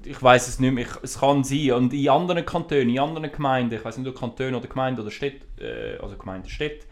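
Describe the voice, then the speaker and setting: A man's voice: medium pitch, quick pace. A man speaking with a Swiss German accent, whose first language is Italian, chatting casually as a podcast co-host and explaining things at length. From Switzerland. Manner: lively, explains at length with restarts. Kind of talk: casual chat.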